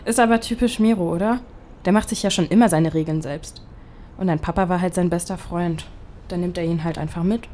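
A young actress playing a pragmatic, sceptical best friend in a naturalistic audio drama, speaking casually. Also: female voice, lowish voice, steady tone, fairly quick, dark tone, lightly acted. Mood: practical. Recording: clear background ambience noise.

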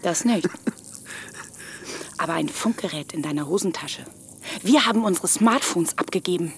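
A woman's voice: lowish voice, expressive, moderate pace, a little hiss or hum, natural voice. An actress playing a wary, probing woman in an audio drama, given to pointed questions. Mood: pointed, accusing. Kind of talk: German audio drama acting.

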